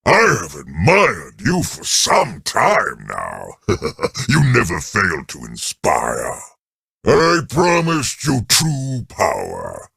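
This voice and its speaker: Casting: gigantic pompous alien emperor, game villain, extremely deep, swooping theatrically, taunting and grandiose. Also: male voice, wildly swooping pitch, slow pace, pushed and bright, no room sound, studio quality, heavily acted. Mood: gloating, seductive. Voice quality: deep, gravelly.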